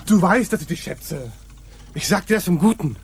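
An actor playing an agitated, bossy leader of pirate mutineers in a radio play, abrupt and commanding. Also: male voice, sits high, lively intonation, moderate pace, creaky, slight background noise. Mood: irritated, placating. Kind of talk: German radio play acting.

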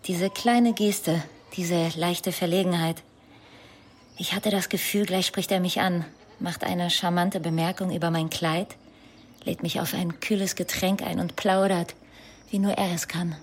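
Actress as dramatic jilted woman, lowish voice. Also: fairly even intonation, a little slow, a little hiss or hum, lightly acted. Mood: dreamy, hopeful. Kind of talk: radio play acting.

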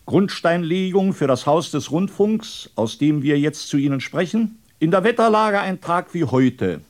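A male announcer reports in a formal radio commentary style. His voice sits a little high and his delivery is factual and orderly. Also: expressive, unhurried.

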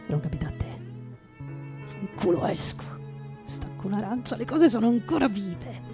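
A woman playing a hard-drinking male poet, bitter and sardonic, in a halting theatrical radio monologue that drops into whispers. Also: medium pitch, sing-song. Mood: bitter, wry. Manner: halting, dramatic, repeats words, drops into whispers. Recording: music behind the voice.

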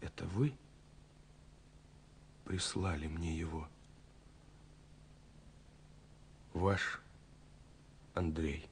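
A man's voice: low-pitched, fairly even intonation, slow pace, a little hiss or hum. An actor playing an ardent, poetic young man in love, reading letters with rapt tenderness in a radio play. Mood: hushed tenderness. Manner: rapt, swelling then dropping to hushed tenderness. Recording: 1970s radio production with music. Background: music.

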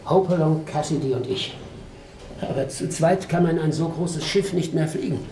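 A man's voice: fairly high pitch, moderate pace, dark tone, echoey room, slight background noise, natural voice, rough recording. An actor in a German radio drama playing a talkative, wistful old male robot, a courteous, rambling ship's officer. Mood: explaining, courteous.

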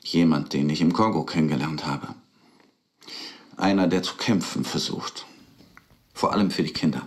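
Deep-voiced, thoughtful man. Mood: reflective. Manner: measured, reflective. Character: thoughtful, serious man recounting experiences of hardship abroad. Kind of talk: radio drama dialogue.

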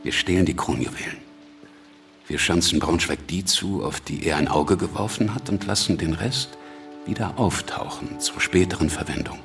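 An actor playing a cunning, ruthless, self-assured revolutionary leader in a radio drama, gravelly and deliberate in delivery. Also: male voice, low-pitched, a bit bright, soft background music. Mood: scheming.

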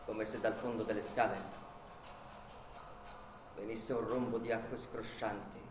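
A man giving dramatic literary narration in a radio play, with measured, incantatory phrasing. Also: lowish voice, level tone. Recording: roomy echo with music underneath.

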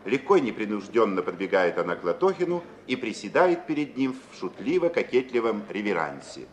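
A man's voice: fairly low pitch, very animated, brisk. A man narrating stage directions for a 1950s Russian radio play in a scripted style. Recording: noisy vintage 1950s radio recording.

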